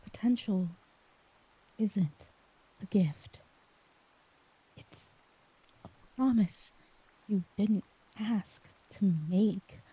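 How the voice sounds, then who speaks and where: A woman performing an emotional ASMR monologue as an exhausted, anxious character, speaking softly and haltingly, often near a whisper. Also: mid-range voice, slightly rough, exaggerated voice, rough recording. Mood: weary, vulnerable. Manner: slow, halting, vulnerable delivery. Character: exhausted, anxious woman crushed by others' expectations. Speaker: audio roleplay performer.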